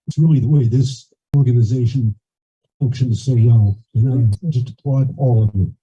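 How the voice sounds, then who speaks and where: A man's voice: mid-range voice, moderate pace, warm tone, husky. An older man offering appreciative remarks at a community meeting. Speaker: long-time community member. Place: community meeting, captured on a video call. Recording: dull, lo-fi meeting audio over video call.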